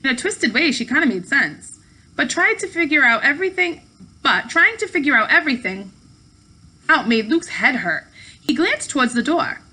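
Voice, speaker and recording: A woman's voice: sits high, big pitch swings, moderate pace, pushed and bright, low-quality recording. A woman narrating a children's story aloud expressively, with the occasional stumble and reread. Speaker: teacher reading aloud to pupils.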